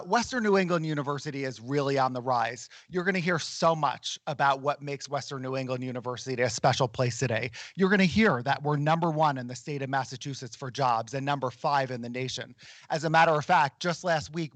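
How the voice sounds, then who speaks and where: A man's voice: fairly high pitch, fairly bright tone, no room sound. A man, a university vice president, presenting on a live webcast with enthusiastic, promotional energy.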